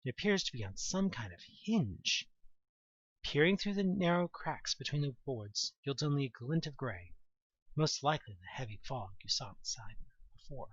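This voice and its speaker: A man reading scripted second-person audio drama narration in a deliberate, dramatic storytelling manner. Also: mid-range voice, expressive, breathy edge, no room sound, muffled recording.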